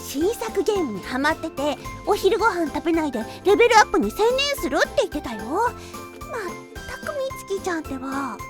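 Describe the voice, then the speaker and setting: Very high-pitched bubbly schoolgirl. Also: brisk. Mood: chatty, pouty. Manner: childlike, whiny, playful, expressive. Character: bubbly, sleepy, sweet-toothed high school girl. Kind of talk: Japanese anime-style voice drama acting. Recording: prominent music behind the voice.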